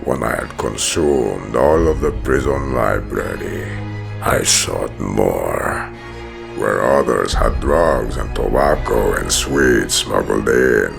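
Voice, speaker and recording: A man playing a brooding, menacing prison-hardened villain who narrates his origin in a gravelly, solemn, deliberate voice for a comic dub. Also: rumbling low, expressive, full character voice. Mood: cold, controlled. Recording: music behind the voice.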